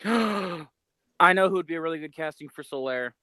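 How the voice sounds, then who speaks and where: A man's voice: a little high, animated, moderate pace, sharp tone, slight background noise. A man co-hosting a podcast, talking in an informal, slangy way. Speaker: podcast co-host. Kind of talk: casual chat.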